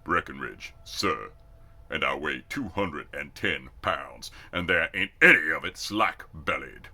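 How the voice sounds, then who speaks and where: Man acting big cocky recruit, deep, slow, folksy, unhurried swagger, storybook character. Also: lively intonation, sharp tone, full character voice.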